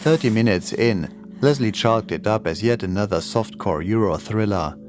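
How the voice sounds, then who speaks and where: Male horror narrator, lower-pitched, scripted storytelling delivery, clear music bed underneath. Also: lively intonation, moderate pace. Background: creepy music and sound effects.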